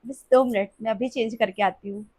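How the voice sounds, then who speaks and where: A woman's voice: fairly high pitch, fairly even intonation, a little fast, a little mellow, no echo, natural voice. A woman voicing a timid young new wife in a family drama skit, hesitant and modest.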